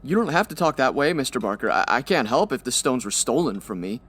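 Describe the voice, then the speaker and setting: An actor playing a shifty, cowardly dry cleaner, fussy and whining, in a comedic radio-style crime drama. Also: male voice, medium pitch, very animated, fairly quick, a bit bright, husky. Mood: whining, nervous.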